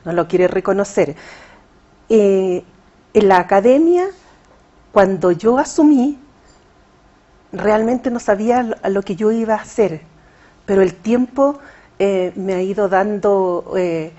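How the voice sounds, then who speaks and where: A woman's voice: medium pitch, lively intonation, unhurried, long pauses, warm tone, dull audio. A Chilean woman, a poet, answering interview questions in a hesitant conversational way with frequent fillers. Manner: hesitant, many fillers and restarts. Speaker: poet and writer, interview guest.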